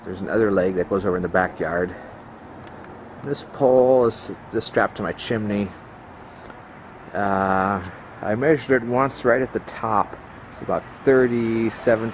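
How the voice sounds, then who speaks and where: A man giving a casual, hands-on video walkthrough as a hobbyist, explaining technical gear in a matter-of-fact, halting way into a handheld camera. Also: fairly low pitch. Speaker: ham radio hobbyist showing his equipment. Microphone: handheld camera microphone. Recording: clearly noisy handheld camera recording.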